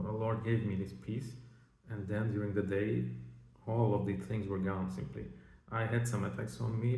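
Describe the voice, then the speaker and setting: Man, informal religious teacher, fairly flat intonation, halting nonnative phrasing, roomy echo. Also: fairly low pitch. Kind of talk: informal spiritual teaching with personal testimony. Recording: roomy, echoing voice recording.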